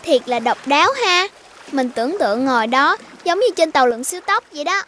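A woman voicing a curious, cautious child in an animated cartoon, wondering aloud. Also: high-pitched, a little fast, slight background noise. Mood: amazed, wary.

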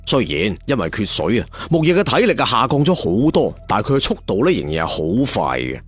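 A man's voice: fairly low pitch, huge pitch swings, a little fast, husky, soft background music. A man telling a serial story in a theatrical, colloquial traditional storyteller style. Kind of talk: dramatic serial storytelling narration.

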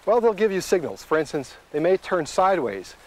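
A man's voice: sits high, sing-song, brisk, low-quality recording. A middle-aged man working as a wilderness guide, explaining things in a matter-of-fact, instructive way while hiking outdoors. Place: outdoors in open coastal tundra, on the move.

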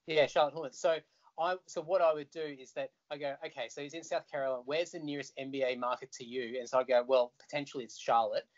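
An Australian man, a musician guest, telling stories in an animated, chatty way over a video call. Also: mid-range voice, fast. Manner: animated, chatty storyteller who laughs easily. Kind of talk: casual video call chat. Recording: dull lo-fi call audio.

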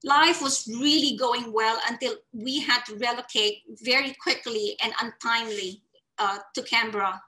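A woman's voice: fairly high pitch, normal speed, poor audio. A woman in her forties from the Philippines, speaking earnestly about her life at an online summit, recorded on a webcam in a small room. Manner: earnest, expressive, gestures with her hands. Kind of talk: personal story talk. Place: small indoor room against a plain wall.

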